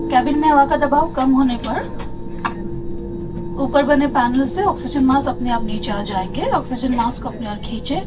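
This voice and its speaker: Heard from off through a muffled aircraft PA speaker, a woman with an Indian accent speaks in a high voice. She is a cabin supervisor delivering a formal, scripted announcement to passengers. The sound is distant and lacking in detail.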